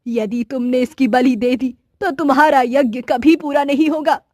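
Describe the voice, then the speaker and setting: A woman voicing a secretive old village woman in a cartoon story, in a measured, guarded way. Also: high voice, fairly even intonation, darkish tone, no room sound, studio quality. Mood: firm. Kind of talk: Hindi cartoon story voice acting.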